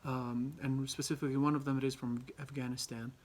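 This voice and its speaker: A young man from Albania giving a class presentation, halting often with ums and restarts. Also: medium pitch, level tone. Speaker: student presenter.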